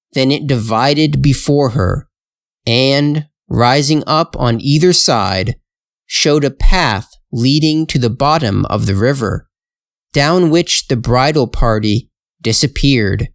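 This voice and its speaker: A male storyteller reads a fairytale very slowly for learners. His phrasing is careful and measured, his pitch sits in the middle, and the sound is dry and close. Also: no room sound. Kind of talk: slow scripted fairytale narration for English learners.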